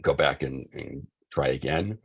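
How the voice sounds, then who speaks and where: A man's voice: extremely low pitch, a little slow, vocal fry, breathy edge. A man hosting a podcast interview, easygoing and rambling, with ums and light teasing. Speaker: podcast host. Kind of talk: casual interview chat.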